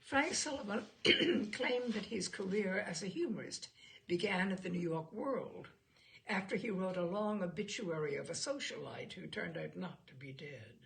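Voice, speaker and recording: A woman reading humor writing aloud, introducing it in an expressive, gravelly literary narration. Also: fairly low pitch, normal speed. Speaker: reader of humor stories.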